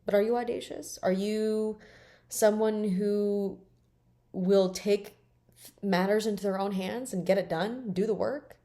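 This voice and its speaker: A woman's voice: medium pitch, steady tone, a little slow, mellow. A woman in her late twenties talking bluntly and emphatically in a candid personal vlog, swearing freely. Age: 20s. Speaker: vlogger.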